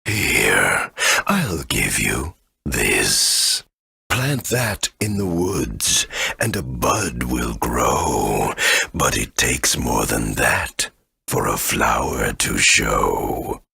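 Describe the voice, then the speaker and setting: A male actor playing an ancient, kindly, forgetful great tree elder in a video game, with a deep, gravelly, husky voice and a slow, halting delivery. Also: sing-song, sharp tone, no echo, heavily acted. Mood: instructive. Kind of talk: video game character acting.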